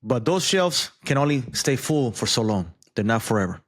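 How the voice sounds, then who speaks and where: A man's voice: medium pitch, sing-song, moderate pace. A man giving casual news commentary, conversational, with frequent asides and repeated words.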